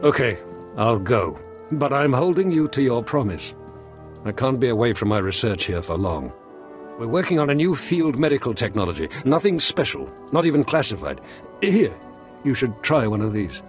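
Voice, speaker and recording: Man acting a bitter, animated defector scientist. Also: medium pitch, normal speed, faint music behind, close to an everyday voice. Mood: wary, relenting. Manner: dramatic, impassioned, sardonic. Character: weary, bitter, idealistic defector scientist, defiant and fearful. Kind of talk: video game character dialogue.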